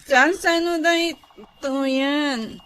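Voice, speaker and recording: A woman's voice: high voice, drawn-out words, a bit bright, exaggerated voice. An actress playing a teasing young female friend in an Ilocano radio drama, with an Ilocano accent. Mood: tipsy, hesitant.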